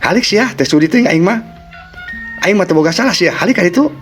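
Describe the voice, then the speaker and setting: Guy doing a feisty village woman in a folk story, high shrill scolding and moaning, bit of music behind. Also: animated, brisk. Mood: angry, defiant. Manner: shrill complaining, scolding exclamations. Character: feisty, indignant village woman, cursing and lamenting.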